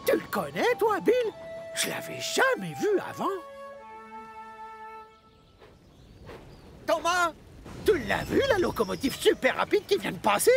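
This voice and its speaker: Creaky, cheeky little boy engine. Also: high-pitched, huge pitch swings, normal speed, fairly bright tone, faint music behind, heavily acted. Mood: indignant. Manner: cheeky, conspiratorial, boyish. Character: mischievous, cheeky young male twin tank engines. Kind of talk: French-dubbed children's animation acting.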